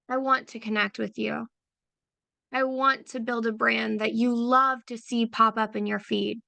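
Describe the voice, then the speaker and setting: Slow, soft-spoken woman guiding a meditation. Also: fairly high pitch, vocal fry, dry recording. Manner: soft, unhurried, affirming, repeating phrases. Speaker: meditation guide for creative business owners. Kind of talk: slow guided visualization.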